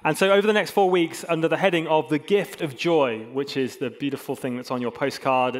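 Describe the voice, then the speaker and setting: A man speaks as a pastor to his congregation. His sermon is informal and conversational, with a mid-range voice and dry asides at his own expense. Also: medium pitch. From England and Hong Kong. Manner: informal, self-deprecating humour.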